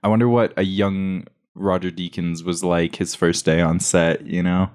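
Deep-voiced young man bantering. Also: flat delivery, moderate pace, darkish tone. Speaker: podcast co-host. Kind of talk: casual joking banter, salty language.

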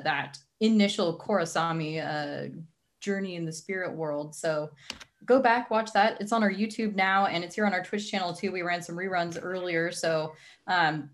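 A young adult woman casually introducing a livestream as its host, speaking on a video call from home. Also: on the low side.

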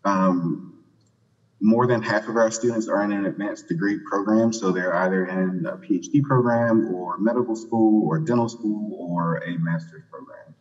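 A man presenting his program's results at a conference in a relaxed way, with many fillers and quick asides. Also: lowish voice. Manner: relaxed, filler-heavy, skipping ahead for time. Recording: muffled audio in a reverberant hall.

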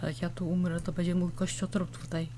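A woman's voice: lowish voice, level tone, brisk. A young woman hosting a gaming video, chatting casually and in slang with friends. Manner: informal, slangy. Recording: faint background noise.

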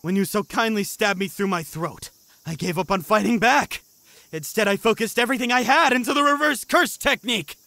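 A man's voice: very high-pitched, gravelly, pushed and bright, dry recording, voice pushed up, heavily acted. A man voicing a cocky young sorcerer in an anime fan dub, rambling in theatrical, exhilarated glee. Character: manic young male sorcerer, cocky, exhilarated, newly powerful.

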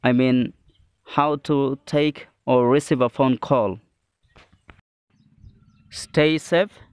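Slow, clear-voiced male teacher. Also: mid-range voice, steady tone, no room sound. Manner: deliberate, phrase-by-phrase delivery. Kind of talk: scripted English lesson, reading example phrases.

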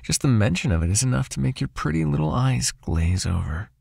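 A man playing a possessive, seductive vampire master in an ASMR hypnosis roleplay, murmuring breathily and slowly in an intimate, coaxing, softly commanding way. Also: mid-range voice, big pitch swings, vocal fry, husky, no echo, clean studio sound, full character voice. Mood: possessive, hypnotic.

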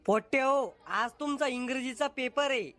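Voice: high-pitched, normal speed. A voice actor playing a strict rural male schoolteacher, blunt and scolding. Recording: loud music under the voice.

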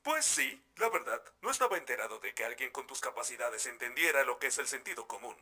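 A man with a Latin American accent playing an irritable, sarcastic animatronic squad member in an animated fandub. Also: medium pitch, a little fast. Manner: scolding, sarcastic. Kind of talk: amateur animated fandub acting.

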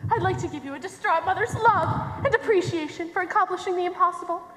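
Young woman playing a shrill, grandiose American mother. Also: fast talker, a bit bright. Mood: gushing, imperious. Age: young adult. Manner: grandiose, theatrical, declamatory. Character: pompous, gushing, melodramatic middle-aged mother pie-seller. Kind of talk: projected stage acting. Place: theater stage, distant camera. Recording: echoey noisy hall from far off.

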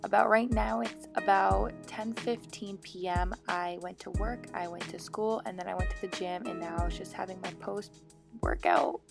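Young woman, American accent, vocal fry, mid pitch, chatty, informal vlog voice-over, clear music bed behind. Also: steady tone, normal speed. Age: young adult. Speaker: vlogger. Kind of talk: casual voice-over narration of a daily routine.

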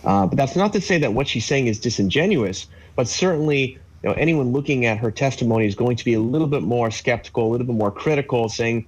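A man in his thirties, a legal analyst, explaining a court case in a measured way with fillers over a video call from a home office. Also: mid-range voice, slightly rough, poor audio. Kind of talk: live news interview commentary.

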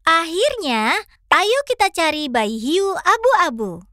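A woman's voice: very high-pitched, slow pace, soft background music, exaggerated voice. A woman voicing a perky, caring young fox who hosts a children's cartoon and talks to the viewers. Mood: curious. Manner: animated, clear, addressing children.

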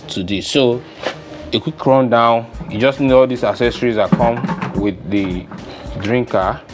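Low-voiced Nigerian man over background music. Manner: unscripted, informal step-by-step explaining. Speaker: DIY farming instructor. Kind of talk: casual hands-on demonstration. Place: open-sided poultry house with concrete floor.

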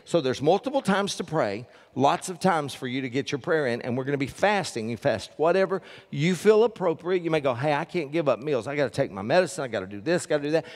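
A man's voice: a little high, lively intonation, fast talker, hardly any pauses. An older man preaching as a pastor, folksy and joking with the congregation, on a headset mic from a church stage. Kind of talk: sermon with announcements. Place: large church stage with audience. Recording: long reverb of a large hall.

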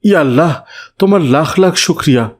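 A man's voice: a little high, lively intonation, fairly quick. A man voicing a concerned, pious father in a cartoon, speaking earnestly. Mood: relieved. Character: concerned, pious father who gives gentle moral advice.

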